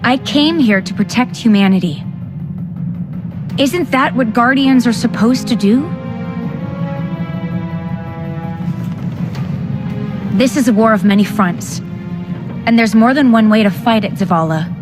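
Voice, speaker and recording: A woman's voice: a little high, moderate pace, music in the background, lightly acted. An actress playing a headstrong, earnest female guardian in a video game cutscene, speaking in an assured, conversational way. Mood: defiant, earnest.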